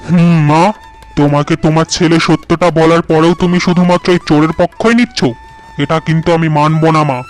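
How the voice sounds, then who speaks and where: A man voicing a gullible, quick-tempered young farmer husband in a cartoon who scolds his wife and mother. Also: a little high, steady tone, faint music behind, close to an everyday voice. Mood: harsh. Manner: stern, blustering.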